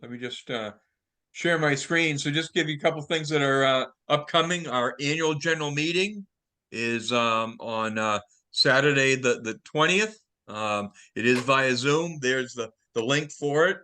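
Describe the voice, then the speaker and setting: A man running a club call, his voice bright and forward. He reads out announcements loosely, pausing on fillers as he goes. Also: medium pitch. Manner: relaxed, many fillers when announcing events. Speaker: club meeting host. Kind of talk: casual conference call hosting.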